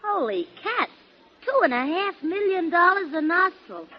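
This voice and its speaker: An actress playing a blunt, unimpressed, slangy wisecracker in 1940s radio comedy, on a dull old recording. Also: female voice, very high-pitched, big pitch swings, normal speed. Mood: skeptical, amazed.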